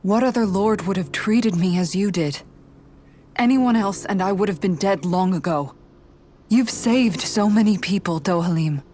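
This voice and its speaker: A woman's voice: mid-range voice, normal speed, darkish tone, natural voice. An actress playing a loyal woman warrior in a video game, frank and heartfelt in the way she talks. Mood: tender, frank. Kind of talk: dramatic video game voice acting.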